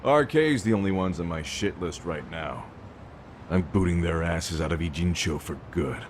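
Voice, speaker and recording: An actor playing a cocky but friendly gang boss in a video game cutscene, tough and slangy. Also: male voice, low voice, animated, normal speed, slight background noise. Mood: resolute.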